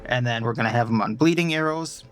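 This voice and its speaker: A man's voice: medium pitch, fast. A man with a stuffed-up nose and a sore throat, chatting casually as he plays and explains his party's stats at length. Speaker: let's play host. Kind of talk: casual gameplay commentary.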